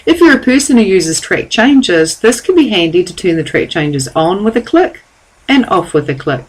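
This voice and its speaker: A woman giving a calm, step-by-step software tutorial over a screen recording. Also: mid-range voice, normal speed, husky. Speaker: software trainer.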